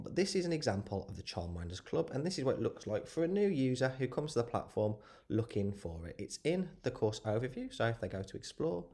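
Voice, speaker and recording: Man, nasal from a cold, low mellow voice, relaxed tutorial walkthrough. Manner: relaxed, self-correcting, lots of fillers. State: head cold, a bit nasal. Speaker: software tutorial presenter. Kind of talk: screen-share software walkthrough.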